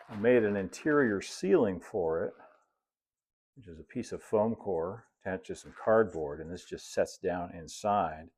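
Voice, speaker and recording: Low-pitched man, casual hobby narration. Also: a little mellow, breathy edge. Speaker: hobbyist presenter of model railroad build videos. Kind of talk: casual hands-on tutorial narration. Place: indoor hobby workshop.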